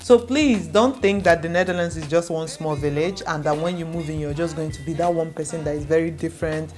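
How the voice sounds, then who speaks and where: A young adult woman from Nigeria, a native Igbo speaker, speaks in a somewhat low voice as she reassures her viewers. She is earnest and steady, and she leans on repetition to drive her points home. Faint music plays quietly under her speech. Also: a little fast. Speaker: vlogger. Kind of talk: direct-to-camera advice vlog.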